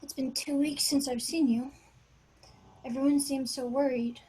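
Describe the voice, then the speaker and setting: A woman plays a worried, innocent girl of twelve in a screenplay read. The voice is high, with a fretful and hesitant manner. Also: steady tone, moderate pace, a little mellow, close to an everyday voice, rough recording. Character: anxious twelve-year-old innocent girl.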